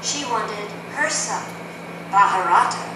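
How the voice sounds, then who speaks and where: A woman narrating a live puppet show, telling the story in measured phrases. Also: mid-range voice, expressive. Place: performance space, live show. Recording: very echoey, from far off, loud noise.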